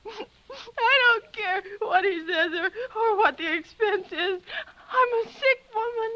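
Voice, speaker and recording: An old, muffled radio drama recording carries a neurotic, sickly wife. The voice is extremely high and bright, pleading breathlessly and close to sobs, yet imperious and demanding. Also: female voice, lively intonation, slow, slight background noise, full character voice. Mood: despairing. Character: panicky, demanding, nervous invalid wife. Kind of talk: 1940s radio suspense acting. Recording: dull, lo-fi vintage radio recording.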